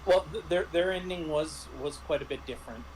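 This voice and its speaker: A man casually recounting tabletop game sessions as game master, speaking haltingly and often clearing his throat. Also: a little high. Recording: clearly noisy background.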